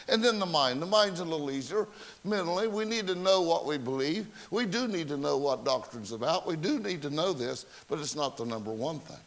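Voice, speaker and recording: Folksy man preaching a sermon. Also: a little high. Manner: folksy storytelling with rhetorical questions, self-mocking. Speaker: pastor. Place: church sanctuary.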